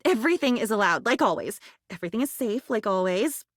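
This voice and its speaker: A woman's voice: on the high side, lively intonation, quick pace, dry recording, clean studio sound. A woman voicing a sweet, naive and chatty living diary in a video game, rambling with wide-eyed wonder. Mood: intimate, earnest. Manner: breathless rambling, wide-eyed, swings from hushed to gushing.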